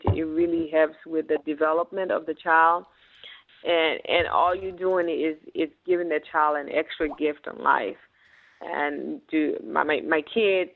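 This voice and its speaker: A woman from Haiti, a business owner, talking as a guest in a radio interview, earnest and often restarting her words. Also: sits low. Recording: tinny phone-like radio broadcast.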